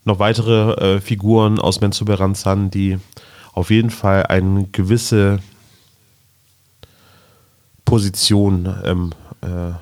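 A German man with a fairly low voice chats casually as a podcast co-host. He talks haltingly, searching for his words, in a very dry recording. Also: flat delivery, a little mellow, dry recording. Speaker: podcast co-host reviewing audio dramas. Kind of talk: casual fan chat.

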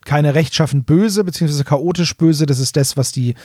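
A man's voice: a little high, moderate pace, a little mellow, slightly rough, dry recording, studio quality. A middle-aged German man co-hosting a podcast in casual fan chat, explaining things and often rephrasing himself mid-sentence. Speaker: podcast co-host reviewing audio dramas.

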